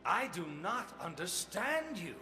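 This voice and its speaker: A man's voice: high voice, big pitch swings, a little slow, sharp tone, heavily acted. A man playing a courteous, fanatical doomsday cult leader in a video game, ceremonious and unctuous. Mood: unruffled, preaching. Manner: unctuous, ceremonious, over-polite. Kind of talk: theatrical video game voice acting. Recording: echoey hall reverb over game music.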